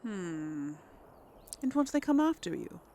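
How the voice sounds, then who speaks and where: A woman's voice: lowish voice, very animated, takes it slowly, mellow, slight background noise. A woman hosting a casual role-play let's play, talking in a hesitant, thoughtful, giggly way. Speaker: gaming video host.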